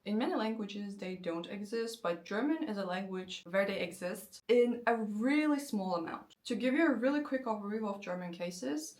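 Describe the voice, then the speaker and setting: A woman in her twenties, a native Turkish speaker, explaining language learning in a casual, lively way and slowing down for example sentences. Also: medium pitch. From Turkey. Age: 20s. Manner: lively, conversational, slows down to spell out example sentences. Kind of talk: casual explanatory talk to camera.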